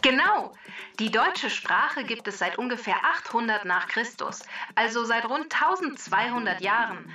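A woman voicing a friendly computer helper on a children's show, explaining facts in a clear, precise, matter-of-fact way. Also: a little high, pushed and bright. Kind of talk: scripted educational narration. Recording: distant voice over background music.

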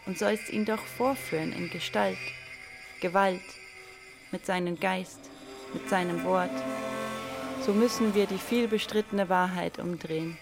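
A woman recites literary text for a radio play in a deliberate, declamatory manner. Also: on the low side, fairly even intonation, vocal fry. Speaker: reader of an inventor's writings. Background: music.

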